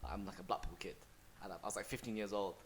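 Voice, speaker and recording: A young man with a London accent talks in a fairly low voice. He chats casually as a podcast co-host, slangy and sweary, and gets animated when he tells a story. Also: rapid-fire, husky. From England. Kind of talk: casual chat.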